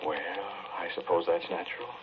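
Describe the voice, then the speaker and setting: An actor playing an earnest, troubled suitor in a 1940s radio drama, speaking dramatically in clipped phrases. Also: male voice, low-pitched, brisk, close to an everyday voice. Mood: gentle concern. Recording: muffled, hissy 1940s radio recording.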